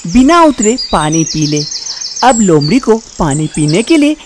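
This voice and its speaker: A woman's voice: fairly low pitch, sing-song, a little slow, background noise. A woman narrating a scripted animal fable for children as a storyteller.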